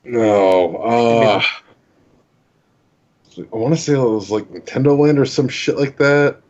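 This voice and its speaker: A young man on a casual gaming podcast, trying trivia answers, swearing with deadpan quips. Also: medium pitch, takes it slowly, highs cut off. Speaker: podcast co-host, trivia contestant.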